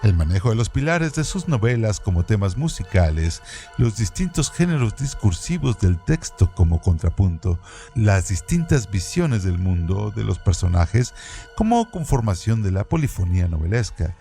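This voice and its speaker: A man with a fairly low voice narrates a scripted radio piece. His delivery is formal and measured, yet the intonation moves up and down a lot. Faint music plays behind him. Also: sing-song, creaky, warm tone.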